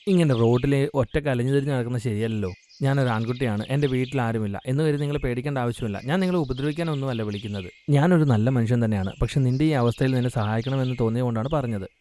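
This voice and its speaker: A man's voice: mid-range voice, quick pace, mellow, a little hiss or hum, natural voice. A man playing a kind young street food seller in a cartoon moral story, speaking in an earnest, reassuring way.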